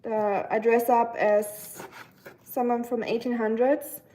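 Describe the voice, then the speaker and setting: A woman's voice: a little high, flat delivery, slow, mellow, slight background noise. Chatty young woman livestreaming while cooking. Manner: chatty, many fillers, giggly asides. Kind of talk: casual live stream chat reading comments. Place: home kitchen, cooking while streaming.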